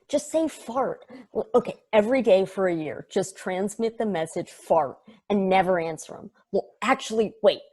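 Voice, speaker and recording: A woman's voice: mid-range voice, warm tone, breathy edge. A woman playing a crude, mischievous space probe in a table read, talking in a slangy, goading way. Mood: wheedling, mischievous. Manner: slangy, goading, foul-mouthed.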